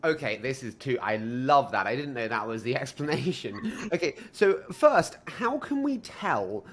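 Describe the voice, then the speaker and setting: A young man in his twenties hosting a podcast interview, asking questions enthusiastically and quickly, with restarts. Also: mid-range voice, big pitch swings. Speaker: podcast host.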